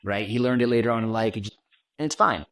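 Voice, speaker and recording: A man with a low-set voice and a neutral American accent talks easily as an interview guest, leaning on "you know" and "right" as he goes. The recording is close and dry, with hardly any room sound. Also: fast talker, no echo. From Washington D.C., USA. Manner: relaxed, chatty, many fillers like "you know" and "right". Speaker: podcast guest, content creator. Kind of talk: casual interview conversation.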